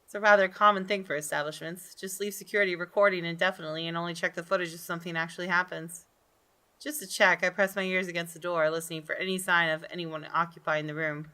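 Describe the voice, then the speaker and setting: A woman's voice: lowish voice, steady tone, fast, sharp tone. A woman hosting a gaming video, reading visual novel text aloud and reacting expressively.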